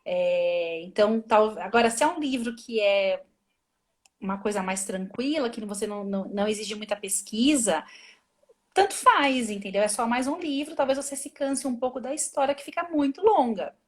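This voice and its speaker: A woman's voice: on the high side, moderate pace. A woman translator, a guest on a live video stream, talking casually and thinking aloud with many restarts. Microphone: phone or laptop mic on a video call.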